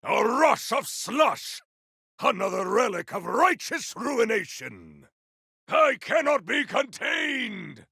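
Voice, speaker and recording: Casting: zealous video game warrior, rough raspy forceful voice, grandiose archaic delivery, slow and declamatory. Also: male voice, very high-pitched, sing-song, no room sound, studio quality, full character voice. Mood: battle fury. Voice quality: gravelly, forceful. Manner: theatrical, archaic, declamatory battle cries. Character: bombastic, righteous warrior on a holy quest, zealous and grandiose. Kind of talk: video game combat voice lines.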